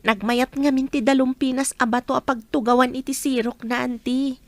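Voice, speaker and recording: A woman's voice: sits high, steady tone, normal speed, darkish tone. A young woman playing a caring, sociable village nurse in an Ilocano radio drama. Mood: friendly, chatty.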